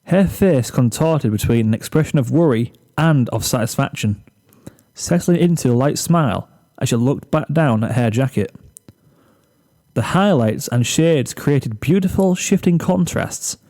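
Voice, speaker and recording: A man's voice: mid-range voice, animated, unhurried, warm tone. A man reading a comic story aloud as narrator, in scripted narration.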